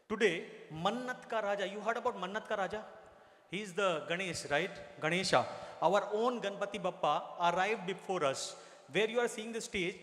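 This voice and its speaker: A man's voice: high voice, fairly bright tone. A man giving a chairman's address to a crowd, chatty and playful. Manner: chatty and playful, teasing the audience. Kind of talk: stage address at a community celebration. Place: large event hall. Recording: echoey hall with long reverb.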